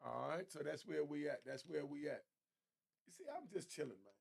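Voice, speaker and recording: An American man with a fairly high voice full of gravelly fry. He chats casually as a channel host, slangy, loose and laid-back. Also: fairly quick. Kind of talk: casual unscripted sign-off chat.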